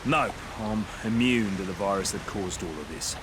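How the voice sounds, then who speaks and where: An actor playing a gruff, impatient captain in a video game, with a blunt, weary, slangy way of talking. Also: male voice, fairly low pitch, moderate pace, background noise, natural voice. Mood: businesslike. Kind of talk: video game cutscene acting.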